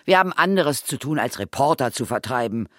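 A woman's voice: very deep voice, expressive, brisk, fairly bright tone, no echo, clean studio sound. A woman playing a resolute older female ghost hunter and mentor, authoritative and matter-of-fact, in a children's radio play.

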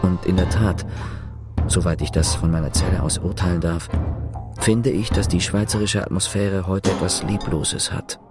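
Male actor for radio drama narrator; deep, sober, reflective and coolly ironic literary storytelling. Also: steady tone, normal speed, over loud music, natural voice.

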